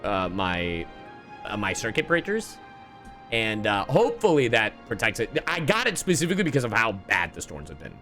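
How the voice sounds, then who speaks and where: A man's voice: lowish voice, huge pitch swings, normal speed, pushed and bright, soft background music. A man streaming a game, chatting casually and reacting to the story as it plays. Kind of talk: casual live stream commentary.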